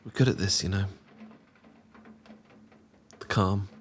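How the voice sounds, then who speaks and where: A young British man in an intimate boyfriend roleplay, talking casually to the listener in a teasing, affectionate, sometimes halting and bashful way. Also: sits low, slight background noise. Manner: gently teasing, playful, affectionate. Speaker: audio roleplay performer.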